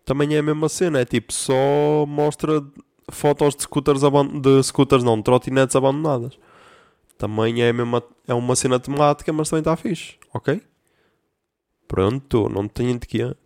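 A man's voice: mid-range voice, fairly even intonation, normal speed, darkish tone, no echo, studio quality. A young man with a European Portuguese accent hosting a casual solo podcast, ranting in a profane, slangy way. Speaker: podcast host.

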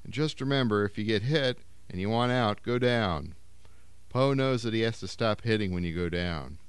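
A man reading aloud, voicing a sly carnival barker who coaxes and shouts in a raised, louder voice. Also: normal speed, smooth, no echo, close to an everyday voice. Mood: coaxing. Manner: loud coaxing barker pitch.

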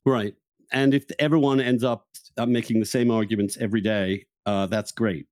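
A middle-aged man hosting a podcast and arguing politics in a blunt, emphatic, conversational way, quick to interject. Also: lowish voice, steady tone, darkish tone, no echo. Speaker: podcast host. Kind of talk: heated, conversational political debate.

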